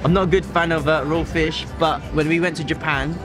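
A young man in his twenties, chatting in a relaxed, easygoing way to camera as a food vlogger in a mall buffet. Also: a little high, brisk, over music. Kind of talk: casual vlog chat to camera. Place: large indoor mall buffet restaurant.

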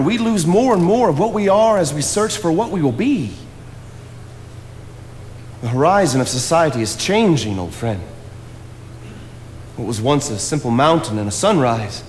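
An earnest young naturalist, solitary and intense, speaking in a philosophical, declamatory way with a slightly high voice. The lines ring through a theatre hall with long reverb and a faint ambient hiss from the stage mics. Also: male voice, darkish tone. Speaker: stage actor. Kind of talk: stage play acting.

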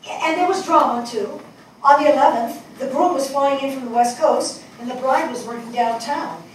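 An older woman acting a thoughtful writer in a stage play reading, telling her story with expressive, wistful, deliberate phrasing. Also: high voice, natural voice. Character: thoughtful, attentive New York woman writer. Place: on stage in a theatre before an audience. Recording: distant mic, echoey hall, audible noise.

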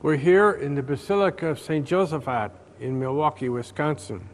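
An older man, a priest, reading a scripted reflection aloud from a book in a slow, measured way inside a large stone church. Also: fairly high pitch.

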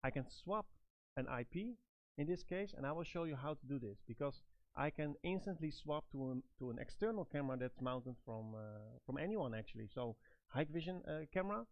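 A middle-aged man giving step-by-step product instructions in a halting, phrase-by-phrase manner. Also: on the high side, animated, dry recording, lo-fi sound. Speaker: product trainer and presenter.

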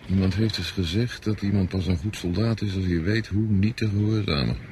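An actor playing a worldly-wise, cynical veteran soldier in a radio drama, explaining things in a knowing, self-assured way. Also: male voice, deep voice, a little fast, natural voice. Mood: dry, self-assured. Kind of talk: Dutch radio drama acting. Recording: noisy radio play recording, outdoor ambience.

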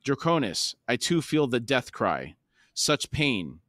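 A solemn, troubled elder god who speaks in a slow, measured way, read by a man. The pitch is middling and the recording is dry. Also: big pitch swings, a bit bright, dry recording.

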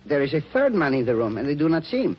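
An anxious professor in an old radio play. His voice is high and husky, halting as he protests. The muffled 1940s recording has a narrow band. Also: big pitch swings. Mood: awed, uneasy. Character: anxious, naive male professor and inventor, easily horrified.

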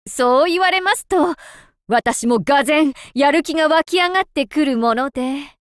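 High-voiced gushing crane-spirit lady, acted by a woman. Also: normal speed, no echo, natural voice. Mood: excited. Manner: polite, theatrical, fangirlish, breathless outbursts. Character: gushing, refined crane-spirit seamstress woman, devoted and excitable. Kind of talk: Japanese game voice acting. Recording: background music under clean studio voice.

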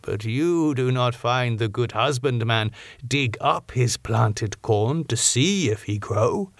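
Man acting a grave old doctor, slow and measured. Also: medium pitch, animated, no echo. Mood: teacherly, gentle. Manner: slow, measured, quaint broken grammar. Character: old Dutch doctor, grave, kindly, teacherly, parable-loving.

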